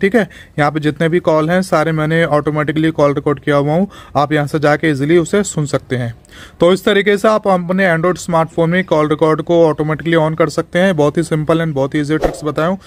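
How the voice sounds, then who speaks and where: Man, slightly high voice, tech tutorial host, instructional, step-by-step explanation, repeats himself. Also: steady tone, a little fast. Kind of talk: explanatory phone tutorial narration.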